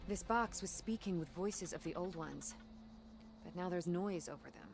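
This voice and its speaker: A reverent warrior woman of a tribe for a video game. She sounds earnest and formal, with a slightly low voice. There is faint music behind her. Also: moderate pace, creaky. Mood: grave. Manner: formal, grave.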